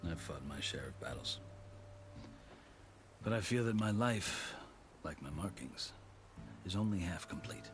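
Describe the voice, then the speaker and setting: An actor playing a seasoned, courteous warrior envoy in a video game, a middle-aged man with a measured, formal, eloquent way of speaking. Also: low-pitched, expressive, noisy background. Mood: solemn. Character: seasoned male warrior envoy, courteous, wry, tattooed veteran. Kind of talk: video game dialogue acting. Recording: game music behind the voice.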